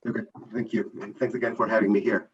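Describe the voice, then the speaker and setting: A man's voice: fairly low pitch, little pitch movement, husky. Middle-aged Portuguese-born man, muffled sound. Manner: measured, reflective, listing points one by one. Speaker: panel guest. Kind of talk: conference panel answer.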